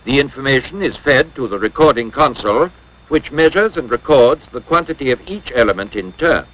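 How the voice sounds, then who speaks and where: Lively-pitched 1950s educational film narrator, male. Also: poor audio. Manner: measured, formal, instructional.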